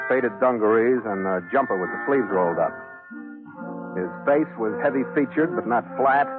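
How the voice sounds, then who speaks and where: Actor as hard-boiled sea captain, tinny vintage radio. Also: male voice, medium pitch, natural voice. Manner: clipped, hard-boiled, wry. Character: tough, wary, wisecracking male sea captain and narrator. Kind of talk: 1940s radio adventure acting, hard-boiled narration. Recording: tinny 1940s radio broadcast with music.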